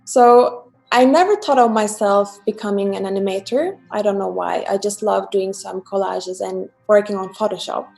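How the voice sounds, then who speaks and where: A woman's voice: medium pitch, moderate pace, creaky, few pauses, darkish tone, faint music behind. A woman from Turkey with a Turkish accent, chatting casually as a guest artist about her own work on a live stream. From Turkey, Istanbul. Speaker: guest illustrator and digital artist. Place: at home, remote video call.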